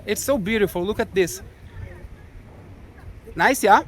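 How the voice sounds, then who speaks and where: A man's voice: high voice, unhurried. A Brazilian man with a Brazilian Portuguese accent, chatting casually to his viewers on a walking travel vlog. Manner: chatty, addresses viewers directly. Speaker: travel vlogger. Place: outdoors in a city street. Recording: outdoor vlog with music behind. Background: music.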